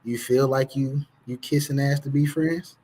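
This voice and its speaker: A man's voice: mid-range voice, steady tone, normal speed, warm tone, slight background noise. A man co-hosting a casual livestream, joking around in slang and laughing easily. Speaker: co-host.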